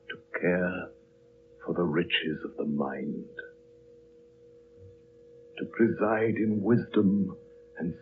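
A slow, ancient holy man. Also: rumbling low. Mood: gentle, solemn. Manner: hushed, halting, prophetic delivery in short phrases. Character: ancient, gentle, solemn male head of a monastic order. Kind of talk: 1930s radio drama acting. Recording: muffled, hissy, humming 1930s radio recording.

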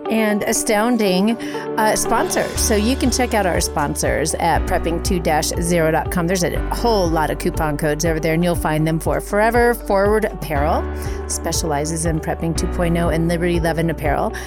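A woman's voice: lowish voice, moderate pace, over music. A woman co-host reading the sponsor list in a playful, hyped-up way. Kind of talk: podcast sponsor read.

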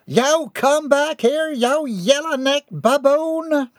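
A man voicing a brash, combative country wife with a loud, folksy manner. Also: extremely high pitch, slow pace, dry recording, studio quality, voice pushed up. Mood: furious. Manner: loud, folksy, hot-headed.

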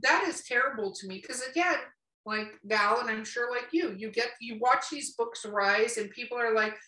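High bright female voice, blunt and sweary, casual podcast talk, roomy echo. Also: normal speed. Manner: blunt, emphatic, swears freely. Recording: roomy echo, distant from mic.

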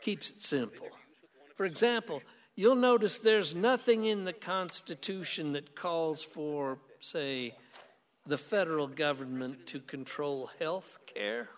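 Radio play: firm, dry, combative conservative dad, lightish pitch, reverberant hall sound. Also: male voice, slow. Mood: stubborn, combative. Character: stubborn, dry conservative gun-owning father. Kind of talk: conversational stage-style radio drama acting.

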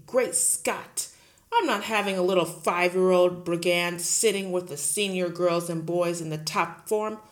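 A woman voicing a huge, bullying headmistress who shouts, barks and sneers at everyone. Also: lowish voice. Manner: shouting, barking, scornful.

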